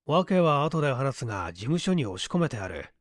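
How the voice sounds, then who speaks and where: A man's voice: mid-range voice, very animated, brisk, no room sound, clean studio sound. A man voicing an easygoing, whimsical male detective with a nonchalant, wry manner in an audiobook story. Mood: matter-of-fact. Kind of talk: Japanese audiobook character acting.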